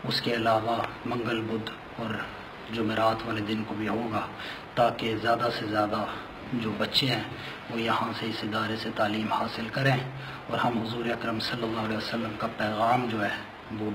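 A man's voice: on the low side, completely flat delivery. A man in his thirties answering spontaneously in an interview. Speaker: community interviewee. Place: indoor building hallway. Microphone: handheld reporter microphone. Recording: clear ambient noise.

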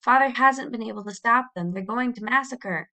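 A woman's voice: medium pitch, unhurried, fairly bright tone, low-quality recording. A woman voicing a frightened but brave young pioneer girl in a storybook, pleading earnestly and urgently. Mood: urgent whisper-like pleading.

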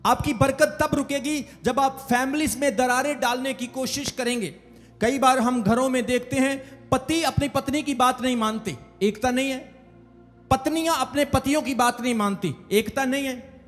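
A man's voice: very high-pitched, moderate pace, a bit bright, big-room sound, faint music behind. A man preaching a sermon as pastor, calling on the congregation to say amen. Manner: preaching with call-outs for amen.

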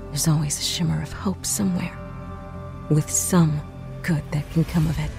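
A grave female survivor of a space disaster, acted by a woman, narrating a sci-fi drama over soft music. Her voice is low and creaky, and she speaks with measured, deliberate weight. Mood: solemn resolve. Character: solemn female survivor of a doomed spaceship, storytelling.

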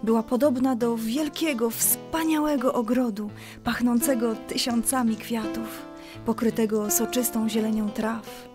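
Gentle mother played by a woman, slightly high voice, patient explanatory storytelling for a children's play, faint music beneath. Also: steady tone, measured pace, natural voice. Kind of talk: children's radio drama storytelling.

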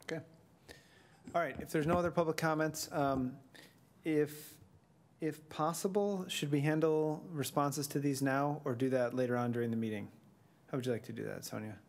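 A man's voice: a little high, steady tone, normal speed. Man chairing a council meeting. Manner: casual, conversational, with restarts. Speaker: village board chair running the meeting. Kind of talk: chairing a public hearing. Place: large council chamber.

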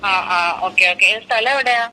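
A woman's voice: fairly high pitch, fairly quick, pushed and bright. A woman on a casual business phone call as a mehndi artist, mixing in English words. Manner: mixes English words into speech. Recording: phone call clip with background noise.

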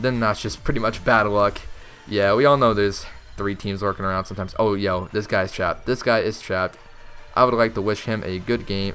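A young man giving casual, excitable commentary over his own gameplay, talking straight to his viewers, his voice strained from shouting. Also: lowish voice. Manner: chatty, excitable, talks to viewers. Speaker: gaming YouTuber.

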